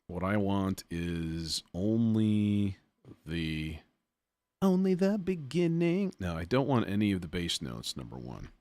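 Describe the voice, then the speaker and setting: A man with a very low voice walks through a music production demo in an unhurried way. He thinks aloud casually, muttering to himself and using folksy turns of phrase. The delivery is slow and informal. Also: big pitch swings, darkish tone, no echo. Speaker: music production tutorial host.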